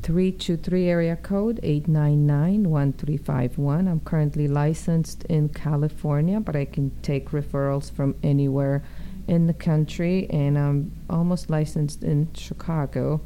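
Brief: deep, gravelly woman, mellow tone, realtor guest on radio, relaxed explainer, faint hiss. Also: measured pace, low-quality recording. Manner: relaxed, explanatory, filler-laden. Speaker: real estate agent guest. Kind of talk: radio interview.

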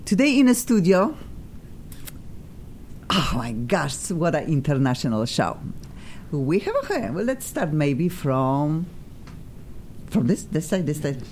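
A woman's voice: low voice, takes it slowly, long pauses, a little hiss or hum. Effusive woman hosting a chat show. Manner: effusive, excitable, rambling. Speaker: talk show host.

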